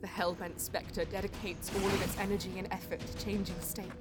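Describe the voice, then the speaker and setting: A determined female alien artisan played by a woman tells of a desperate fight over prominent music. Her voice sits at a medium pitch, and the narration is tense and dramatic, in the manner of a sci-fi audio drama. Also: flat delivery, brisk, lightly acted. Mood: focused.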